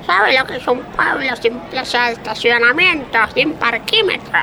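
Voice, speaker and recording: A woman's voice: high-pitched, animated, a little slow, sharp tone, heavily acted. An actress playing an indignant, rambling old working woman with an Argentine accent in a comic radio play. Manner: argumentative, rambling, self-contradicting complainer. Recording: faint vehicle and street noise, people behind.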